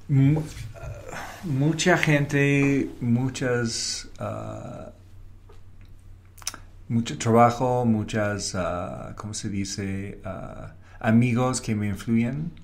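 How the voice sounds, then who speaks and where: A man's voice: medium pitch, fairly even intonation, very slow, creaky, long pauses. A man who grew up in Wales, a native English speaker with a British accent, answering interview questions in non-native Spanish with short declarative sentences and the odd English word. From Wales, Britain. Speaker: guest, advertising creative and professor. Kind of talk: reflective interview conversation.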